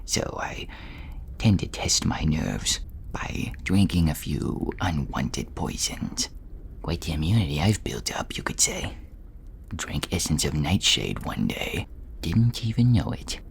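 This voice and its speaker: A man voicing a meek, chatty dungeon servant with a hushed, throat-burnt rasp and a hesitant, self-effacing manner in an audio role-play. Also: rumbling low, big pitch swings, takes it slowly, in a whisper, exaggerated voice. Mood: dry, rambling.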